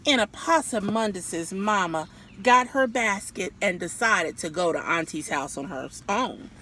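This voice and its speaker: Lady doing kids storytime outside, slow n bright, very expressive, bit of noise behind. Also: medium pitch. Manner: theatrical, addresses young listeners directly. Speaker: storyteller, children's read-aloud host. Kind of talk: expressive children's story read-aloud. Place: outdoors. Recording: clear background noise, outdoors.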